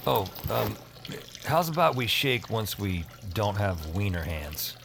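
An actor playing a weary, sardonic late-shift phone operator with a deadpan, slightly hesitant delivery, in an audio drama. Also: male voice, lowish voice, slow, vocal fry, noisy background, exaggerated voice. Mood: awkward, dry. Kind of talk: audio drama acting with noir-like narration.

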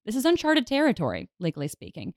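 A woman's voice: medium pitch, wildly swooping pitch, fast talker, darkish tone, no echo, studio quality. A woman playing an assertive advocacy leader on a radio debate panel, articulate and lawyerly in her arguing. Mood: assertive, defensive.

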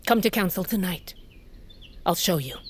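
An actress playing a proud, hardened older queen and mother of a warrior tribe, speaking gravely and with command in an audio drama. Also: lowish voice, lively intonation, moderate pace, slight background noise, lightly acted. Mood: stern, protective. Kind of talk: audio fiction drama acting.

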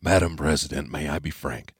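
A man voicing a blunt male presidential adviser, speaking low and confiding. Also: expressive, normal speed, a bit bright, dry recording, studio quality, full character voice.

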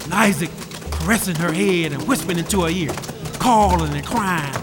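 A man's voice: sits high, moderate pace. A male storyteller narrating with a rhythmic, building cadence. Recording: reverberant space with music behind.

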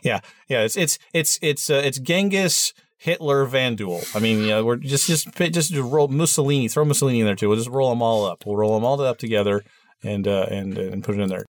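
Male podcast host, nasal voice, mid-range pitch, joking and self-mocking in casual banter. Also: fairly quick, no echo, studio quality. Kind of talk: casual chat, scripted outro.

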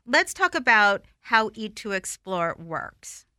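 Playful bright-voiced woman host. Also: medium pitch, sing-song, slow pace, smooth, no room sound, studio quality. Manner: teasing, playful. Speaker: radio show host. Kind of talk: conversational interview.

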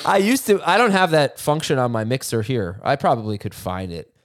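Nasal guy hosting a podcast, laid back, kinda rambly, mid pitch. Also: moderate pace. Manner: relaxed, chatty, with frequent restarts. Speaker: podcast host. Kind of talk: casual chat.

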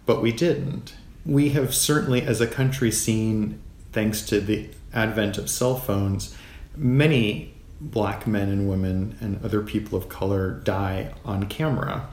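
An American man speaking as an interview guest in a measured, thoughtful way, pausing between short phrases. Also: on the low side, darkish tone. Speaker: podcast guest, physician. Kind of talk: reflective interview talk. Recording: roomy echo, clear background noise.

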